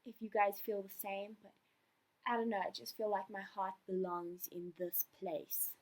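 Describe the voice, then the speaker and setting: A young woman in her late teens with a South African accent talks casually in a homemade question-and-answer vlog. Her voice sits at a medium pitch, and she comes across as chatty and earnest. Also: fairly even intonation, normal speed. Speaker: fan account owner answering viewer questions. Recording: poor amateur sound.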